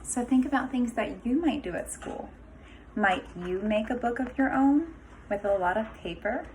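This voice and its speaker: A woman kindergarten teacher reading a picture book aloud to young children in an expressive, instructive way, pausing for asides. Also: a little high, slight background noise.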